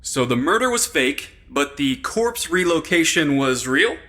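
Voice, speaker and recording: A man's voice: a little high, sing-song, unhurried, pushed and bright, heavily acted. A man playing a sarcastic, exasperated sidekick in a comedy audio drama. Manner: wisecracking, sarcastic.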